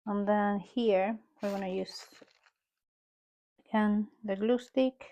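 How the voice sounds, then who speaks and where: A woman from Peru with a strong Peruvian Spanish accent, talking casually through an art journal page as she paints, often pausing and correcting herself as she thinks aloud. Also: mid-range voice, fairly even intonation, warm tone. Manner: musing aloud while painting, unhurried and self-correcting. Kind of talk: casual art tutorial narration.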